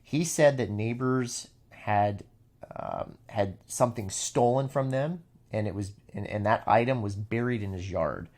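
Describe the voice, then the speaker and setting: True crime host, male, on the low side, talking through case notes informally with stop-start phrasing and repeated words. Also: a little mellow. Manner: halting, repeats words, seeks assent with "right?" and "okay?". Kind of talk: informal case commentary, partly reading from notes.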